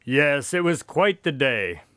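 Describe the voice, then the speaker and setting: Affable, wry male doctor sidekick, played by an actor: higher-pitched, very expressive, chatty radio-drama storyteller. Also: slow pace, no echo, heavily acted. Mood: genial storytelling. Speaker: narrator-character of a radio drama. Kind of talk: scripted radio drama narration and dialogue.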